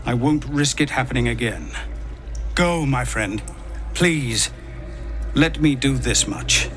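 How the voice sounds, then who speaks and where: A man playing a solemn, guilt-burdened warrior in a fantasy video game, speaking gravely and sometimes hushed, with music behind him. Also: medium pitch, lively intonation, slow pace. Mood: resolute.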